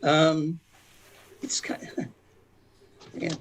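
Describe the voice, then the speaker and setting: A man's voice: a little high, monotone, drawn-out words, slight background noise. A man chatting casually on an online open mic, hesitant and laughing as he trails off mid-sentence. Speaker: open mic guest showing his new album. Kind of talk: casual chat.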